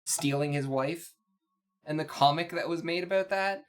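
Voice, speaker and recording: A woman's voice: sits low, normal speed, faint music behind. A woman co-hosting a casual comic discussion in a blunt, mock-exasperated way, swearing freely. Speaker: co-host. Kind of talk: casual comic commentary chat.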